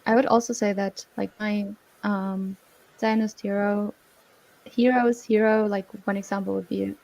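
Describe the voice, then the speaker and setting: A woman from Russia, a youth community board member, introducing herself haltingly with fillers. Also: mid-range voice, flat delivery, vocal fry, a little mellow, highs cut off.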